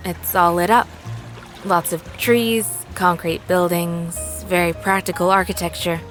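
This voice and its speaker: A woman playing a polite, literal, curious puppet who talks in a precise, formal, measured way in an audio drama. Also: lowish voice, fairly even intonation, a bit bright, soft background music. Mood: watchful.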